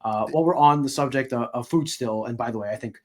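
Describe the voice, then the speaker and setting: A man's voice: mid-range voice, fast, darkish tone. A man interviewing a guest as host of a casual sports podcast.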